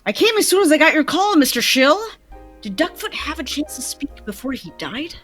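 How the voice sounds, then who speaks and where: A woman reads a businesslike radio-play detective, clipped and decisive. The voice sits fairly high and moves around a lot. Music runs faintly underneath. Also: very animated, brisk, a bit bright. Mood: brisk, probing. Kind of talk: live old-time radio play table read.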